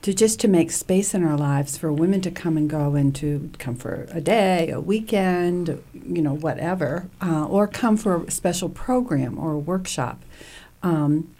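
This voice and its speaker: Husky, low-voiced woman in her early sixties. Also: measured pace, few pauses, mellow. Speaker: interview guest. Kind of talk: conversational interview talk.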